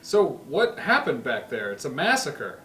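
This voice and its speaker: Man as earnest police officer, animated pitch, distant mic, faint music. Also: measured pace, reverberant. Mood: concerned, startled. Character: solemn male police officer on a rescue boat. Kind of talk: live comedy script read-through.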